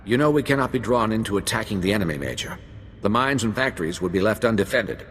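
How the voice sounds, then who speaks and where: An actor playing a courteous, firm army general in a video game, formal and measured in delivery. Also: male voice, fairly low pitch, expressive, big-room sound, a little hiss or hum, lightly acted.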